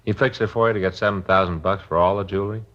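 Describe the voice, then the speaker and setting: An actor playing a practical, matter-of-fact American police officer, the sidekick in an old radio crime drama. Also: male voice, deep voice, fairly even intonation, racing, fairly bright tone. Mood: businesslike. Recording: muffled, noisy vintage radio recording, off-mic.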